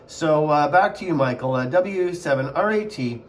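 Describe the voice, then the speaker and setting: A man's voice: fairly high pitch, lively intonation, moderate pace, slight background noise. A man chatting casually as an amateur radio operator, repeating himself and spelling call signs phonetically. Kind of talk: casual ham radio contact.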